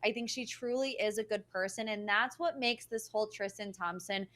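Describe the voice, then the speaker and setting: Bright-voiced woman, emphatic recap chat. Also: medium pitch, normal speed. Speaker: panelist on an entertainment recap show.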